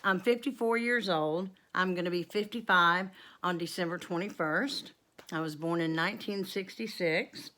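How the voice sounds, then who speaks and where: A woman in her fifties with a drawl talks straight to camera. Her voice sits on the lower side, and she rambles through a casual Q and A, filling pauses with ums and wandering off into asides. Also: sharp tone. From North Carolina, USA. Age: 50s. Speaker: homestead vlogger.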